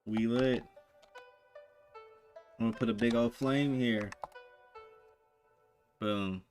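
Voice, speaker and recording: A man's voice: medium pitch, fairly even intonation, slow, darkish tone, faint music behind. A man hosting a reaction video, talking in laid-back, slangy banter. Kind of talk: casual reaction commentary.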